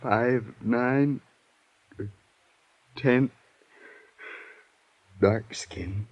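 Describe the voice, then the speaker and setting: An actor playing an injured, dazed male night watchman in an old-time radio drama, groggy with pain and speaking in halting, broken phrases. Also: medium pitch. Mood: dazed, weak. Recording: muffled old radio recording.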